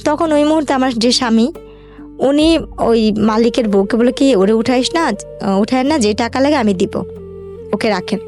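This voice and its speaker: A young woman with a Bangladeshi accent and a fairly high voice tells a personal story as an interview guest. She talks colloquially, chaining events and quoted exchanges together. Faint music plays behind her. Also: normal speed, long pauses, darkish tone. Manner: colloquial, run-on narration with quoted dialogue.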